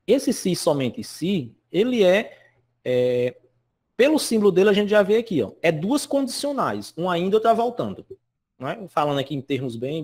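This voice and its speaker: A man's voice: high voice, darkish tone, breathy edge. A male teacher from Northeast Brazil, explaining a lesson from slides in a chatty way and checking in with his students all the time. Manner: chatty, constantly checks in with "né?" and "certo?".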